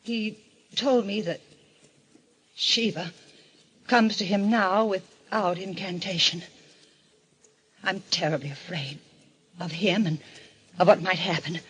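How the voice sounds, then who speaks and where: A woman's voice: fairly low pitch, slow, long pauses, slightly rough, heavily acted, poor audio. An actress playing a frightened but loyal woman, earnest and breathless, in melodramatic old radio mystery style. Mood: anxious. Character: frightened, loyal woman pleading with a killer friend.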